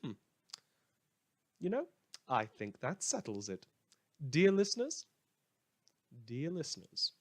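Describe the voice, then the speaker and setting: A man's voice: a little high, wildly swooping pitch, slow, pauses often, heavily acted. A man playing a sardonic, mock-grandiose radio host turned self-appointed lawman in a scripted audio drama monologue, rambling and repeating himself. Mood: grandly announcing. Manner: sarcastic, rambling, mock-grandiose, hesitant repetitions.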